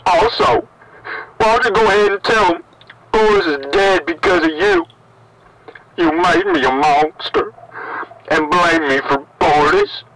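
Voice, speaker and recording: A man's voice: high-pitched, sing-song, measured pace, creaky, pauses often, crowd noise, heavily acted. A man playing a distraught, furious father in a found-footage horror monologue, bitter and accusing, on a crackly old cassette tape.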